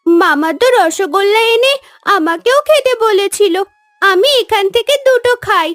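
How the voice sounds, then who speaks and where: A pitiful little stepdaughter in a cartoon melodrama. She speaks very high in a plaintive, childlike whine that rises and falls a lot, over soft music. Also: expressive, measured pace, heavily acted. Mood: sad, wistful. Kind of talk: melodramatic cartoon story acting.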